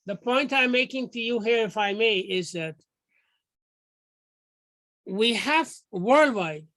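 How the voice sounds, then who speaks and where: An older man from Guyana giving a measured, formal lecture over a video call, often restarting his phrases. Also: sits high, fairly bright tone, no echo, studio quality. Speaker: guest lecturer.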